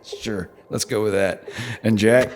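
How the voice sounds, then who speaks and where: A man's voice: lowish voice, noisy background, lightly acted. An actor playing a mysterious male stranger in an audio drama, a sly tempter who teases and coaxes without hurry. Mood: soothing, knowing. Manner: teasing, coaxing, unhurried.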